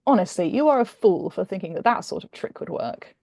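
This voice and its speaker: Romance audiobook duke, played by a woman: proper, indignant, flustered, very animated delivery, some fry. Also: mid-range voice, a little fast, no echo, studio quality. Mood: stiff, indignant. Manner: stiff, stammering indignation. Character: stiff, flustered, duty-bound Regency duke, adult man. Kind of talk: dramatic romance audiobook reading.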